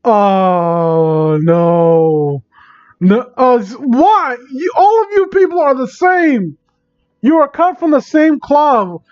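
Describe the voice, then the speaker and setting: A man doing a casual livestream reading and commentary, exasperated, sarcastic and sweary, with a halting delivery. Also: very high voice, expressive, clear, resonant tone, muffled recording, soft background music. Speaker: live streamer reading and mocking online fan fiction.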